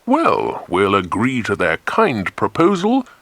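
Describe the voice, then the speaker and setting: A hearty, easygoing grown man from a children's adventure audiobook, acted out by a male narrator. His talk is avuncular and considered, with lively swings of pitch, and the sound is dry and close. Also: slow pace, dry recording, full character voice. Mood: amused, agreeable. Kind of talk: dramatized children's audiobook dialogue.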